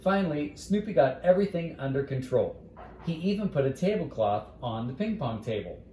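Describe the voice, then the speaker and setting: A man's voice: on the high side, expressive, a little fast, mellow, a little hiss or hum. A man reading a bedtime story aloud as a storyteller, in a roomy, echoing recording.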